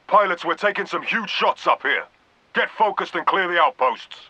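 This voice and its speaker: A grave, commanding military vice admiral for a video game. His voice is intensely forceful and edged, with clipped, authoritative orders. It comes dry and close, through a slightly lo-fi radio filter. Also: sits high, animated, moderate pace, husky, no echo, exaggerated voice. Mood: urgent, shouting. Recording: dull band-limited radio sound.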